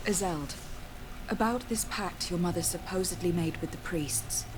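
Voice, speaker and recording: An actress playing a composed, diplomatic noble envoy in a fantasy game, speaking formally and articulately. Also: female voice, fairly low pitch, normal speed, background noise, lightly acted. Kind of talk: scripted game dialogue acting.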